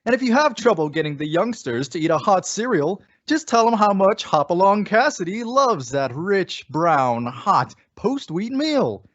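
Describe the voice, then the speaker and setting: A man's voice: high voice, big pitch swings, measured pace, hardly any pauses, no room sound. A man announcing and reading ads in a polished, emphatic old-time radio style. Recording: dull lo-fi radio sound, music behind.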